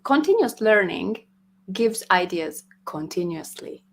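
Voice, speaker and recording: A woman's voice: mid-range voice, very animated, creaky. Woman music teacher. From Hungary. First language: Hungarian. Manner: thoughtful, laughs often, slightly halting. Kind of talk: casual interview.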